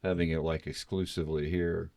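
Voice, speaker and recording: A man with a very low voice hosts a casual podcast. He talks eagerly, wandering through long recommendations with fillers. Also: little pitch movement, a little fast, creaky, darkish tone. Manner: enthusiastic, rambling recommendations. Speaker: podcast host.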